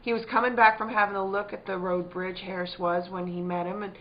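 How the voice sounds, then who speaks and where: An actress playing a careworn, protective rural wife, fretful and earnest in plain country dialect, in a radio play. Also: female voice, medium pitch, flat delivery, a little fast, lightly acted. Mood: anxious. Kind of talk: theatrical radio-play acting. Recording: dull, noisy lo-fi recording.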